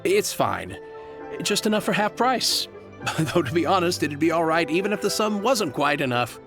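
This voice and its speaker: Animated, easygoing merchant. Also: male voice, fairly high pitch, normal speed, over music. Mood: obliging. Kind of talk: video game cutscene voice acting.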